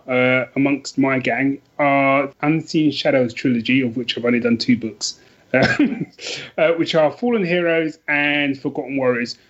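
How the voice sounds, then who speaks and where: A man's voice: mid-range voice, muffled recording. A man chatting casually as an interview guest, self-deprecating and prone to rambling asides. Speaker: podcast guest, author.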